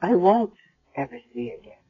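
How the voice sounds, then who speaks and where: An actress playing a blind, dying elderly grandmother in a hospital bed, speaking softly and haltingly in a 1970s radio drama. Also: on the low side, sing-song, breathy edge, heavily acted. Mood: tender. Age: older. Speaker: hospital patient. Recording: dull lo-fi vintage radio recording.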